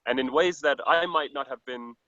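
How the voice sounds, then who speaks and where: A man's voice: medium pitch, normal speed, bright, forceful tone, no echo, highs cut off. A man from Denmark with a Danish accent, speaking English as a second language, a traveler giving an earnest, reflective interview over a call with frequent restarts. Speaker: traveler guest. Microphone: video call.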